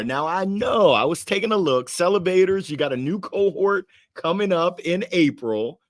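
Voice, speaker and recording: A man's voice: high-pitched, no echo. A man hosting a podcast interview, playful and hyped, teasing his guest with catchphrases. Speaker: podcast host. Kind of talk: casual interview chat.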